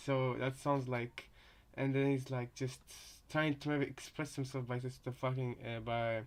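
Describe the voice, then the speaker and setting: A man with a medium-pitched voice gives casual commentary. He rambles and hesitates, working out his thoughts aloud as he reacts to game voice lines. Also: level tone, unhurried.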